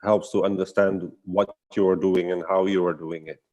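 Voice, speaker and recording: Very deep-voiced man, thoughtful and hesitant. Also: little pitch movement, moderate pace, darkish tone, highs cut off. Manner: hesitant, searching for words. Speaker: guest artist and storyteller. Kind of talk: casual reflective online conversation.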